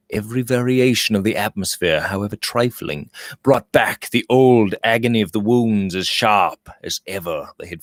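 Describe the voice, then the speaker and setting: A man's voice: fairly low pitch, no echo, studio quality. A man reading the part of a bitter, grieving young former cavalryman in a heavy, resigned manner from a storybook.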